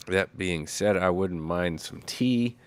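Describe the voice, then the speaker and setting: A man hosting a casual solo livestream, talking in a slow, rambling way with many fillers, a little congested. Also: sits low, clean tone, no room sound. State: congested after illness. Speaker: livestream host.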